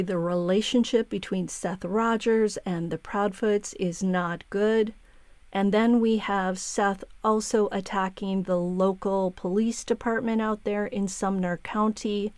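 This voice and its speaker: A woman presents true-crime news commentary in a mid-pitched voice with a close, dry sound. She reads from a script and quoted statements, breaking off to give her own opinionated asides straight to the listeners. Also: a little mellow, dry recording. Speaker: true-crime channel host.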